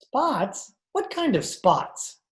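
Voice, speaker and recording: A woman's voice: high voice, big pitch swings, extremely slow, roomy sound, full character voice. A woman playing a wry male adventurer and con man in an old-time radio drama, recorded over a video call. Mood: puzzled.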